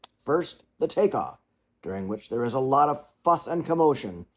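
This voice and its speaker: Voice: mid-range voice, slightly rough. A storybook reader voicing a pompous, long-winded father swan who lectures his children.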